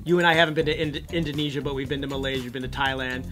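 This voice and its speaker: A man's voice: medium pitch, little pitch movement, racing, a bit bright, soft background music. A man co-hosting a casual commentary show, musing aloud and offering his opinions on photos.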